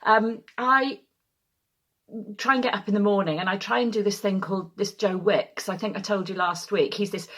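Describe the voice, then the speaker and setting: A Welsh woman leading a choir from home, chatting casually and self-deprecatingly with lots of ums. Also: mid-range voice. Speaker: choir leader. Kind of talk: casual chat to camera. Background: faint keyboard.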